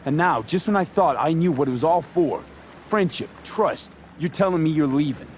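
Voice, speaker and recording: A man's voice: fairly high pitch, expressive, moderate pace, a little mellow, a little hiss or hum, close to an everyday voice. A man playing a brooding but sincere streetwise mercenary in a video game, speaking in a casual, clipped, earnest way. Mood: hurt, heated. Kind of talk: naturalistic video game voice acting.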